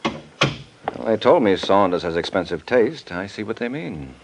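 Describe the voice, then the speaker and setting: A suave private eye from a vintage radio mystery. His low voice moves with lively swings as he delivers dry, nonchalant wisecracks. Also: a little slow. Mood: teasing, dry. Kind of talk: 1950s radio detective drama acting.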